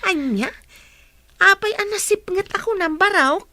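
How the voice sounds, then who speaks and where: A woman playing a chatty, fussing, protective older household woman in an audio drama, exclamatory and animated. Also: very high-pitched, slow pace, dry recording, full character voice. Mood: warm, teasing.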